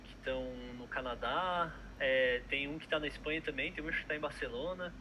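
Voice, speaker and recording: A man's voice: on the high side, fairly quick, bright, forceful tone, a little hiss or hum. A young man, a product manager chatting casually in an interview, hesitant with many fillers and restarts.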